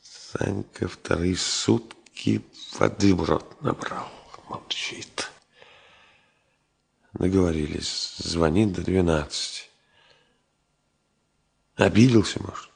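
A brooding, reflective man in a radio play, thinking aloud. His voice is very low and husky, with slow, clipped phrases that question himself. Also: full character voice. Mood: brooding, puzzled. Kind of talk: introspective Soviet radio drama monologue.

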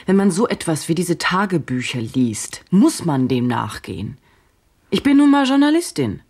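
Journalist woman, early thirties, radio drama: lowish husky voice, probing, earnest, uneasy. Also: big pitch swings, moderate pace, darkish tone, heavily acted. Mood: defensive. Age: 30s. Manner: earnest, questioning, hesitant.

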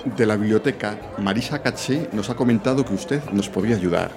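Un hombre interpretando a un compañero de aventuras educado, ingenuo y curioso en una ficción sonora. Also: male voice, on the low side, brisk, over music, lightly acted. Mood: polite. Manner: deferential, formal address. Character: polite, naive male sidekick, curious. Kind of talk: audio drama acting.

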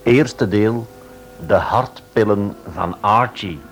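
A man's voice: lowish voice, slow. A man formally and dramatically announcing a radio serial in a scripted style. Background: music.

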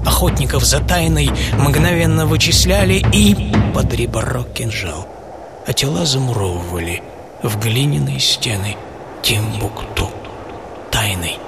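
A man narrating a scripted radio documentary in a theatrical storytelling manner, with deliberate pauses. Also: mid-range voice. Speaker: narrator and author of a radio travel series. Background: music bed.

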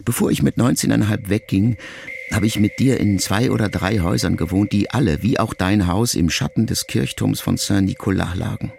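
Deep, gravelly male audiobook narrator. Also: darkish tone. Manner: measured, reflective, intimate first-person address. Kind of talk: scripted literary narration. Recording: music clearly under the voice.

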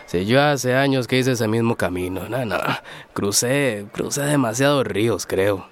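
A man's voice: medium pitch, unhurried, slight background noise, lightly acted. An actor plays a world-worn male drifter in a radio drama. He rambles in a wry, resigned way, sighing between phrases.

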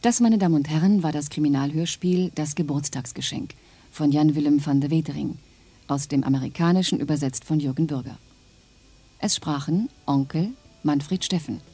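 A woman's voice: low voice, warm tone, slightly rough. A woman working as a radio announcer, reading scripted programme credits in a formal manner.

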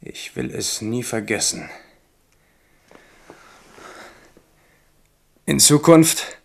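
An actor playing a disillusioned captive king in a German radio drama, brooding and measured, hardening into resolve. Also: medium pitch. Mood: resolute.

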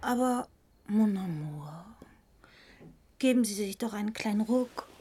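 An actress playing a coquettish, evasive young woman in a literary radio drama, with a coy, soft delivery. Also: mid-range voice, expressive, a little hiss or hum, lightly acted. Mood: soft coaxing. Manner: coy, soft, unhurried, slipping into breathy murmurs.

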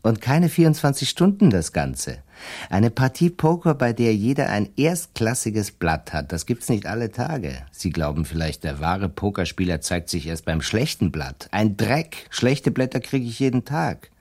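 Boastful, lively defence lawyer. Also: male voice, fairly low pitch, measured pace, heavily acted. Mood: triumphant, boastful. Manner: self-satisfied, expansive. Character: triumphant, boastful defence lawyer, cynical card-player type. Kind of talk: German radio play acting.

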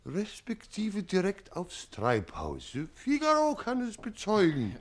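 An actor playing a servile, fussy gardener in a comic radio drama, grumbling in obsequious, pompous phrases. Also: male voice, high voice, wildly swooping pitch, takes it slowly, exaggerated voice. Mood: aggrieved. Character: fussy, aggrieved servile gardener, reportedly tipsy.

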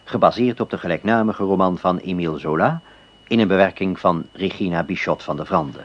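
Male announcer, deep and slow, formal credits, muffled old broadcast. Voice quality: measured, formal. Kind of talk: scripted credits reading. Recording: dull lo-fi broadcast recording.

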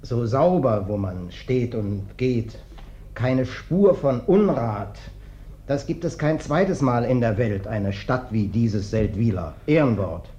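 Casting: a hulking ex-wrestler tramp for radio drama, obsequious, folksy, quietly threatening, mid-pitched, on a dull recording. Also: male voice, expressive, slow pace. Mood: humble, ingratiating. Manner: obsequious, folksy, wheedling, falsely humble. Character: big, ingratiating ex-wrestler drifter, slyly menacing. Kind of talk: German radio play acting. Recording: dull, band-limited radio play recording.

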